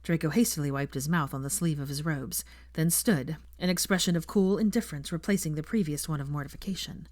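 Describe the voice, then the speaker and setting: A woman's voice: low voice, fairly quick, few pauses, a little mellow, no echo, clean studio sound. A woman narrating a scripted story as an audiobook reader. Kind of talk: scripted fiction narration with character voices.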